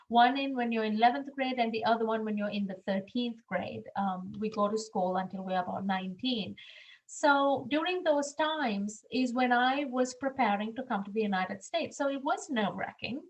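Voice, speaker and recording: A woman's voice: a little high, normal speed, rough recording. Earnest woman from Sri Lanka presenting. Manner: earnest, frequent ums, encouraging. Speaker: university international admissions staff. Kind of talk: webinar presentation. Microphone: video call.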